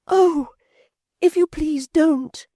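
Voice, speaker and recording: Man reading as a sweet young woman begging softly, way up high, dry close sound. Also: measured pace, no room sound. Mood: pleading. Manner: soft, pleading. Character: gentle kind young woman. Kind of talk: storybook reading.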